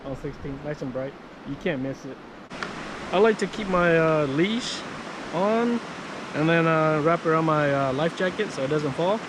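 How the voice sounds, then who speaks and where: Male vlogger, higher-than-average voice, informal off-the-cuff demo delivery, windy and noisy outdoor action-camera sound. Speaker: vlogger demonstrating marine VHF radios. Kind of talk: casual, improvised product demonstration. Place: outdoors by the water. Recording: windy outdoor recording with water noise.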